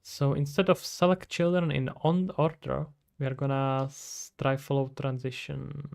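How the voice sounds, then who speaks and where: A man's voice: mid-range voice, level tone, normal speed, creaky, a little mellow. A man, chatting casually on a livestream as he works and thinking aloud to himself and his viewers. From Czech Republic. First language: Czech. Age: 20s. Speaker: streamer, indie game developer. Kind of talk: casual livestream chat while working. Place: indoors at a computer.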